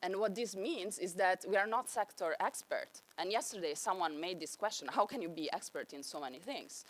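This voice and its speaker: A woman's voice: lowish voice, normal speed. A young woman with an Italian accent giving an enthusiastic conference talk on stage. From Italy. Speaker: conference speaker.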